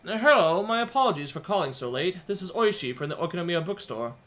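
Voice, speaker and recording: A man's voice: high voice, sing-song, quick pace, fairly bright tone, faint music behind, voice pushed up. A man voicing a goofy, lighthearted middle-aged man who speaks politely on the phone. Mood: jovial.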